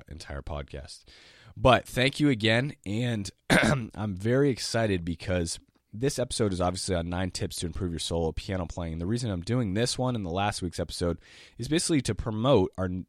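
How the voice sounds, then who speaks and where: A man who is sick and sounds lower than usual, hosting a casual podcast as a jazz piano teacher, rambling eagerly with many restarts and the odd cough. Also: dry recording, clean studio sound. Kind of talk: casual solo podcast monologue.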